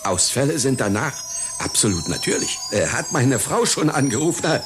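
An actor playing a vain, forgetful older circus artiste in a satirical radio play, stammering and querulous. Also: male voice, medium pitch, big pitch swings, moderate pace, over music, close to an everyday voice. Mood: pompous, fussy.

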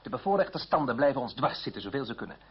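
An actor playing a confident, wry French revolutionary deputy in a radio play. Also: male voice, mid-range voice, big pitch swings, racing. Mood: wry, indignant. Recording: dull, husky old radio play recording.

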